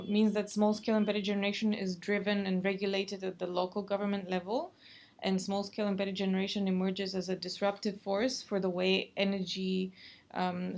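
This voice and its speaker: Young woman from Bulgaria, creaky low rasp, formal talk full of ums, faint hiss under it. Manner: frequent um fillers, measured and formal. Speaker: graduate student presenting research. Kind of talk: recorded research presentation.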